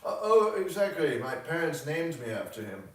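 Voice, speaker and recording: A good-natured American gentleman abroad as a man reads him, forthright and emphatic. He speaks plainly from a storybook, distant from the mic in a strongly echoing room. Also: a little high, very animated, moderate pace, voice pushed up. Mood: amused, emphatic.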